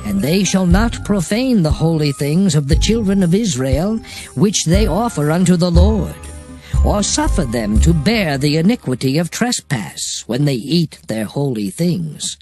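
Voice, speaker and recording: A man's voice: on the high side, big pitch swings, a little slow, warm tone. A man playing a solemn divine lawgiver, reciting sacred law formally in a dramatized scripture reading. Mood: solemn, authoritative. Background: music.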